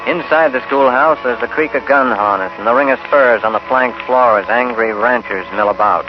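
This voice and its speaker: An old, dull-sounding radio broadcast with music behind a male announcer. He has a fairly ordinary-to-high pitch and narrates a 1950s western with dramatic, emphatic delivery. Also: a little fast.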